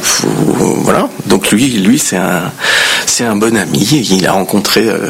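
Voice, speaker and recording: A man, an actor, talking casually in an interview, often halting to search for words. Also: lowish voice, animated, creaky, a bit bright, breathy edge.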